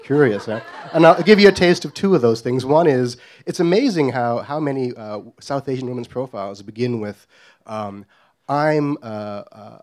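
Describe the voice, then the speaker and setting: A man's voice: mid-range voice, lively intonation, normal speed, darkish tone. A middle-aged man from Guyana telling a comic story on stage in a wry, self-deprecating way. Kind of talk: live comedic storytelling.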